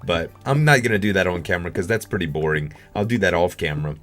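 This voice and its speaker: A man's voice: sits low, lively intonation, quick pace, fairly bright tone, faint music behind. A man giving casual gaming commentary as a video host, rambling and thinking aloud.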